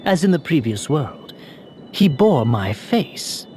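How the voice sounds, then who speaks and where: An actor playing a thoughtful young man in a video game, hesitant and deliberate, full of doubt. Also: on the high side, very animated, dark tone, slight background noise. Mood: pondering.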